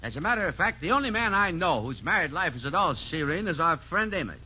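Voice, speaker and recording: A man's voice: on the high side, big pitch swings, quick pace, bright, forceful tone, heavily acted. A man playing a chatty, wry friend and gossipy sidekick in a 1940s radio sitcom, folksy yet precise. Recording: dull, noisy old radio recording.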